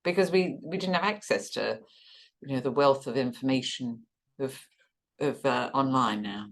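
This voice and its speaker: A middle-aged woman with a notably low voice talks as an empathetic therapist host. She asks gentle questions and often ends them with tag questions. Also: moderate pace. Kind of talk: supportive interview conversation.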